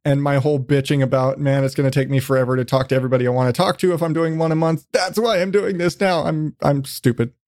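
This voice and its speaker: A man's voice: on the high side, fairly even intonation, fast, few pauses, darkish tone, no room sound, studio quality. A man hosting a podcast, chatting in a casual, rambling way with jokey, self-deprecating, profane remarks. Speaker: podcast host. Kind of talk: casual, rambling interview chat.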